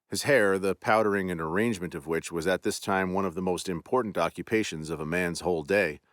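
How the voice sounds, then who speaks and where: A man hosting a podcast, narrating a classic ghost story in a measured, deliberate way. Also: low-pitched, dry recording, clean studio sound.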